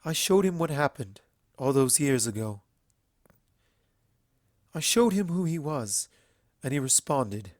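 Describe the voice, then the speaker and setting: A man voicing a regal, earnest mare princess in a fantasy story reading, with solemn, emphatic and measured speech. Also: mid-range voice, big pitch swings, no echo, studio quality, voice pushed up. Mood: gentle, explaining.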